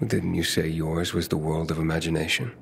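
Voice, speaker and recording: Gravelly, guarded male survivor. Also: extremely low pitch, fairly even intonation, a little fast, a little hiss or hum. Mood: hesitant, soft. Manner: terse, understated. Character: gruff, guarded but kind male survivor. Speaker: game protagonist. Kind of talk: video game cutscene dialogue.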